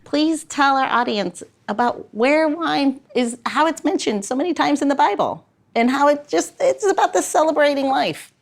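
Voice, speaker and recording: A woman's voice: high-pitched, lively intonation, moderate pace. A woman hosting a podcast in a chatty, playful way, moving between banter and loosely scripted segments. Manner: playful, prone to chuckling. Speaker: podcast host.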